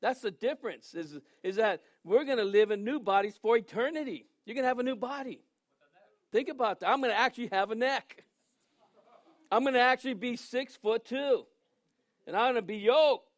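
A man in his sixties preaches with a high voice, trading jokes and asides with his listeners before slowing down to read scripture. The sound is dry and close, with no room echo. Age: 60s. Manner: joking, conversational preaching with asides; reads scripture aloud slowly. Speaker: pastor. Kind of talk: sermon.

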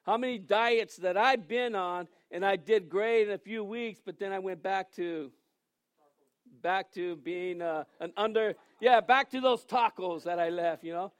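Man in his sixties, pastor, high voice, dry close sound, joking conversational sermon. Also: clean tone, dry recording. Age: 60s. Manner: joking, conversational preaching with asides; reads scripture aloud slowly.

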